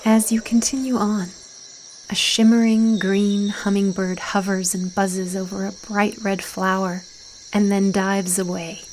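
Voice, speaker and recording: Woman, guided meditation narrator, very slow pace, soft breathy phrase endings, soothing, medium pitch. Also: level tone, a little hiss or hum, rough recording. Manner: slow, soothing, deliberate with long pauses.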